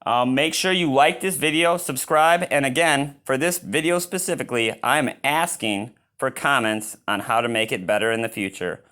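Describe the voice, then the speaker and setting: A man with a mid-pitched voice reads a children's storybook aloud. He is expressive and steps out to chat to viewers about the tale like a channel host. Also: moderate pace, hardly any pauses. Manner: expressive, gasping and reacting to the story. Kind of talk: storybook read-aloud with chatty asides.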